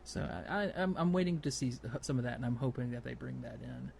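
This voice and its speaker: Male gaming podcast host, medium pitch, halting conversational delivery, faint noise behind the voice. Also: wildly swooping pitch, creaky, a little mellow. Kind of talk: casual gaming podcast discussion.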